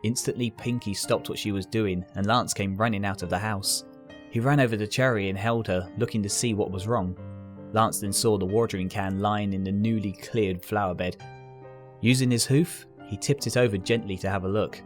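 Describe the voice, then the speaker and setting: Male story narrator, fairly low, scripted reading over quiet guitar. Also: fairly even intonation, normal speed.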